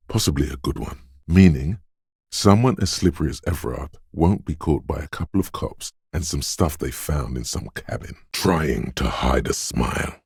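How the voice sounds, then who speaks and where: A man voicing an alert, streetwise inner voice of quick reflexes in a video game, narrating dramatically and knowingly to the listener, very deep, gravelly and husky. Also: dry recording, clean studio sound, full character voice. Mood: shrewd, probing. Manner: knowing, conspiratorial, addresses listener as you.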